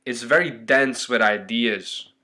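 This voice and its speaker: A man's voice: mid-range voice, slow, sharp tone. A man hosting a podcast interview, chatting casually and rambling with fillers. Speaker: podcast host.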